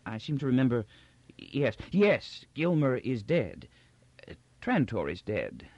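A man voicing a gentle, confused elderly emperor from a novel, with a quavering, halting voice and a hesitant, forgetful manner. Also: medium pitch, sing-song, dry recording, highs cut off. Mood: confused, faltering. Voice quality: quavering, halting old man's voice.

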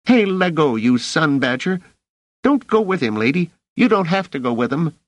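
A man voicing a scrappy, excitable young street boy in a squeaky, slangy, defiant voice for an audiobook. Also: moderate pace, no room sound. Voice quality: squeaky, shrill. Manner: slangy street talk with dropped endings.

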